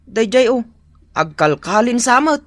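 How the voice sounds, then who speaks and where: A man's voice: extremely high pitch, sing-song, unhurried, fairly bright tone, heavily acted. An actor playing a timid man who reports to the old farmer in a hesitant, deferential way.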